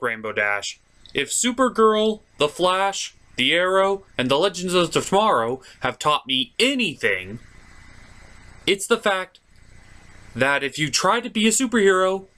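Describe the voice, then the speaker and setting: A man's voice: medium pitch, sharp tone. A man hosting a comedic cartoon review, narrating from a script in a theatrical, playful way. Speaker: host and reviewer.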